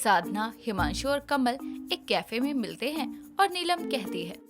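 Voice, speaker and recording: A woman's voice: a little high, sing-song, normal speed, music in the background. A woman narrating a scripted story as its narrator.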